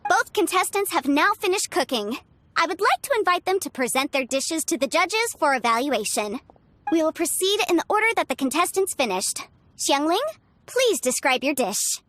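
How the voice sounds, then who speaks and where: A woman's voice: high voice, sing-song, normal speed, a bit bright, dry recording. A woman voicing a formal, upbeat cooking contest presenter in a video game, announcing over music. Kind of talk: video game character acting.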